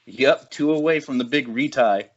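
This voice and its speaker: A man's voice: mid-range voice, moderate pace, natural voice. Man as a weary army veteran, muffled. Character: weary veteran male soldier, gruffly griping. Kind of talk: amateur table read of a horror film script.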